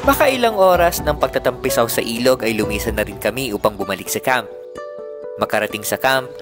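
Young male narrator, calm poetic voice-over, lively intonation, over music. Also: mid-range voice. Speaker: vlogger narrating his trip. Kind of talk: scripted reflective voice-over narration. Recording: voice-over with loud music behind.

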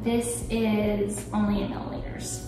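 A woman's voice: mid-range voice, level tone, unhurried. A young woman in her twenties chatting casually and poking fun at herself while she bakes in her home kitchen, picked up by a camera set a few feet away. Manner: chatty, self-deprecating, talks while cooking. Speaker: lifestyle vlogger. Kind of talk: casual baking vlog chat. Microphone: camera on the counter a few feet away. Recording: roomy kitchen sound, mic at a distance. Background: background music.

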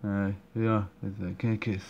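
Guy mumbling thru a game, slow and flat, stopping and restarting a lot. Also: lowish voice, gravelly. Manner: hesitant, many fillers and restarts. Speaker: player commenting on a game. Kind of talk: halting casual commentary. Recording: dull muffled recording, faint room noise.

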